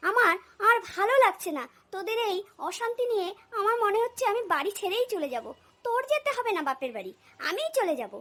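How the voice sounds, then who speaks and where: A woman's voice: very high-pitched, sing-song, fast, fairly bright tone, full character voice. A woman voicing a stern, exasperated older mother-in-law in a cartoon comedy, nagging with blunt sarcasm. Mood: scolding, exasperated. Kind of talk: cartoon family comedy dialogue.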